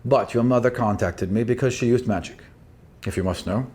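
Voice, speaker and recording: A man plays a big, weathered sea captain in a tabletop roleplaying game. He is gruff yet protective. His manner is folksy and fatherly, like he is looking after a youngster. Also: medium pitch, a little fast, a little mellow, close to an everyday voice. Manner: folksy, fatherly, calling the listener boy.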